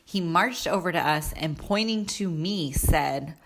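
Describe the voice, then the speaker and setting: A woman's voice: sits low, measured pace. A woman reading a children's storybook aloud as narrator. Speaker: storybook reader.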